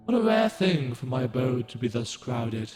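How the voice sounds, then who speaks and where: An actor voicing a solemn ancient guardian spirit of the moon in a game, speaking in a formal, archaic, gentle and measured way. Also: male voice, mid-range voice, big pitch swings, roomy sound, in a large hall, soft background music, natural voice. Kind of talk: game cutscene dialogue.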